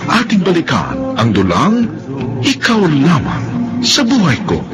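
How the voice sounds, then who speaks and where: A man's voice: on the high side, huge pitch swings, measured pace. A man with a Filipino accent announcing a radio drama in a dramatic scripted style. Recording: radio announcement over loud music.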